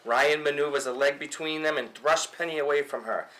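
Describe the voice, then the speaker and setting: Bright-voiced man narrating a film script. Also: on the high side, a little fast, low-quality recording. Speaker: reader of stage directions. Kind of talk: scripted narration at a table read.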